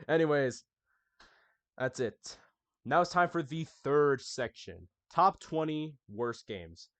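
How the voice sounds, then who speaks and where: A man's voice: fairly high pitch, expressive, slow, a bit bright, no room sound. Chatty young man hosting a gaming channel. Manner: chatty, addresses viewers as y'all. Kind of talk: casual scripted anniversary recap.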